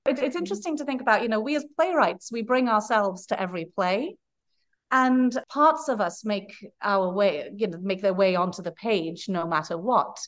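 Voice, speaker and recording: A woman's voice: medium pitch, moderate pace. A woman from Wales, a playwright giving long, earnest, rambling answers in a podcast interview. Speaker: playwright guest.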